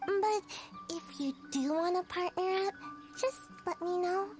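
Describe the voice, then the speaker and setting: A woman's voice: extremely high pitch, measured pace, a little mellow. A woman voicing a sleepy, timid little girl in a video game cutscene, earnest and subdued. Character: drowsy, timid little girl who dozes off easily. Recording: game music behind the voice.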